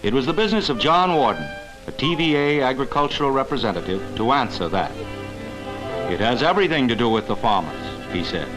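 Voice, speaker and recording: Earnest male documentary narrator, lively pitch. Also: a little fast, over music, rough recording. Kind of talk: scripted narration, mid-century educational film.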